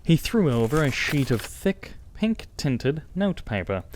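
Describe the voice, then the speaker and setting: A man's voice: fairly high pitch, very animated, measured pace, slight background noise. A man narrating a scripted first-person story as an audiobook narrator.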